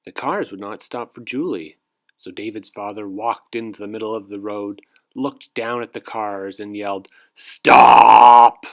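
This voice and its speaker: A man narrating a children's storybook aloud in an expressive read-aloud style. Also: fairly low pitch, moderate pace. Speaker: storybook reader.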